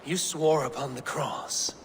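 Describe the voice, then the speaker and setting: An actor playing a measured, strategic Viking war leader in a video game, solemn and deliberate in archaic phrasing. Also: male voice, on the high side, steady tone, a little hiss or hum, close to an everyday voice. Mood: resolute. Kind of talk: dramatic game cutscene acting. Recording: music behind the voice.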